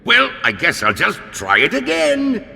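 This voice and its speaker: An actor playing a villainous undead zombie pirate in a video game, gravelly and theatrical, with gloating, drawn-out menace. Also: male voice, high voice, huge pitch swings, bright, forceful tone, full character voice. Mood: puzzled, grumbling. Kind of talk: video game character acting. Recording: long cavernous reverb.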